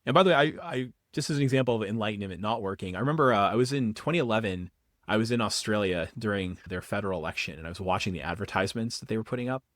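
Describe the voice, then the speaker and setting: Fast-talking man guest, dry close sound. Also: fairly low pitch, no echo. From Boston area. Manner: quick, animated explaining with repeated restarts. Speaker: guest, political philosophy professor. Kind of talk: casual podcast interview.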